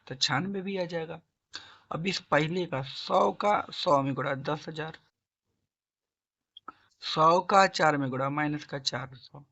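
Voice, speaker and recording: A hoarse man with a sore throat teaching a math lesson, coaxing students along and asking and answering his own questions. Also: on the high side, bright, forceful tone, dull audio. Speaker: math tutor. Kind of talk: classroom-style math lesson.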